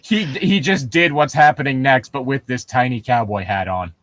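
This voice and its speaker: A man's voice: mid-range voice, moderate pace, a bit bright, dry recording. A man co-hosting a comedy podcast in casual banter, swearing crudely with mock outrage as he riffs on a story. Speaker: podcast co-host reading and riffing on a story.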